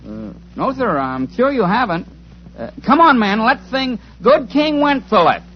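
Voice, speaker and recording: Menacing gang villain, over-polite, highly inflected, dull old radio recording. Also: male voice, sing-song, a little slow, full character voice. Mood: falsely polite. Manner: polite, coolly threatening. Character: smooth-talking menacing English gang villain feigning politeness. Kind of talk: 1940s radio acting.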